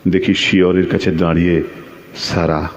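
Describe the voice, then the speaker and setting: A man acting a lovesick, quick-tempered man who tells his own story in a theatrical, emotional radio-play style. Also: low-pitched, little pitch movement. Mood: brooding. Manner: theatrical, emotional, with repeated pleading phrases. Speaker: audio drama actor and narrator. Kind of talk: dramatic first-person narration of a Bengali audio play.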